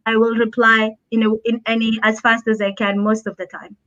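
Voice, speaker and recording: A woman's voice: a little high, flat delivery, brisk, a bit bright. Earnest woman on a muffled call line. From born in Abu Dhabi. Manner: earnest, heartfelt, repeats key phrases. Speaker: guest, special education advocate. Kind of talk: conversational interview answers.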